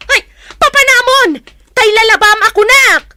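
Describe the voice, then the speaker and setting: A woman playing a nagging, scornful housewife in a radio drama, with a sharp, sarcastic manner. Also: extremely high pitch, moderate pace, very noisy. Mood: angry, sarcastic. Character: nagging, scornful housewife scolding her husband.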